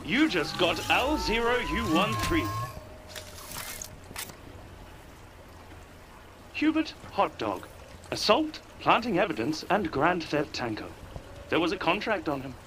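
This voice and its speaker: Literal-minded killer robot for a game: deadpan, precise, somewhat high, noisy ambience behind. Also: male voice, moderate pace. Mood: dry satisfaction. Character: literal-minded assassin robot, dry and formal.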